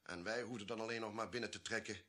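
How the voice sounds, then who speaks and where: An actor playing an authoritative, unsentimental government official in a Dutch radio drama, explaining grim secrets emphatically. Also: male voice, on the low side, steady tone, fast talker, natural voice. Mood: grim, emphatic.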